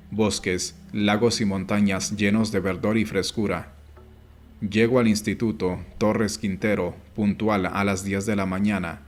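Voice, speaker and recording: Low, even-toned male audiobook narrator. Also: slight background noise. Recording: music behind the voice. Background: background music.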